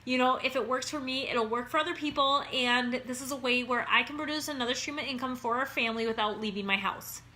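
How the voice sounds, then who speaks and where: A woman's voice: high voice, fast, hardly any pauses, sharp tone, slight background noise. A woman in her thirties from Minnesota with an Upper Midwest accent, an online wellness coach talking casually to her viewers in a chatty, upbeat way. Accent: Upper Midwest American. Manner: chatty and upbeat, keeps saying "you guys".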